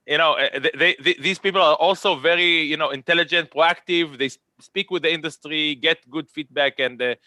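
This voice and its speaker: A man's voice: a little high, a little fast, hardly any pauses, bright, forceful tone, no echo. A man with an Israeli accent moderating a virtual conference panel, chatty and rambling, often repeating words.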